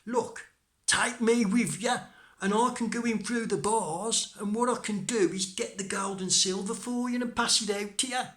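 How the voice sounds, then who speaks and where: A man voicing a tiny, cheeky, plucky boy in a folk tale, excitable and pleading. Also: sits high, normal speed, voice pushed up. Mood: cheeky, eager. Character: tiny plucky boy, cheeky and quick-talking to save himself.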